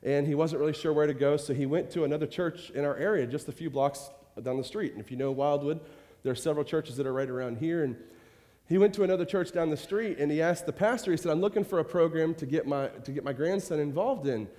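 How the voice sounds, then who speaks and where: A man's voice: fairly high pitch, fast talker. A man speaking as a pastor to his congregation, chatty and rambling with many fillers, fighting a cold and coughing now and then. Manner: warm rambling talk with many fillers and repeated "I tell you". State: has a cold, occasional cough. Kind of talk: informal church address. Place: church sanctuary. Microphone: handheld or stage microphone. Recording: reverberant church hall.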